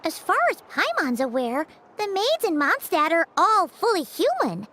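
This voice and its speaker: Tiny fairy sidekick girl, super high squeaky voice, outspoken, swoops around, game music behind. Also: wildly swooping pitch, moderate pace, bright, forceful tone, a little hiss or hum, exaggerated voice. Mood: puzzled. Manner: refers to self in third person, exclaiming. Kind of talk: animated game voice acting.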